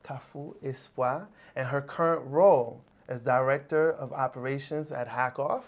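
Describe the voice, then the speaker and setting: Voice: mid-range voice, steady tone, normal speed. A podcast host introducing and interviewing a guest in a friendly, chatty way. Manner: friendly, chatty, jokes about himself or herself. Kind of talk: interview hosting.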